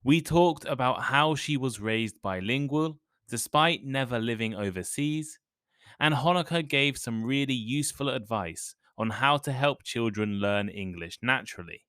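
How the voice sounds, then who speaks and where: Clear, measured male podcast host. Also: mid-range voice, fairly bright tone, no echo, clean studio sound. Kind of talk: scripted intro then casual interview.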